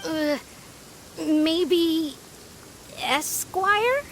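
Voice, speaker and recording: An actress playing a composed, knowing young gang companion in a video game, matter-of-fact and instructive. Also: female voice, very high voice, drawn-out words, a bit bright, a little hiss or hum, full character voice. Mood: tentative.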